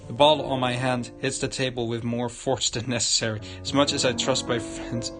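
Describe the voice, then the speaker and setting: Male streamer, medium pitch, reading game narration aloud in a stumbling way, very loud music underneath. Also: level tone, brisk. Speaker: livestreamer.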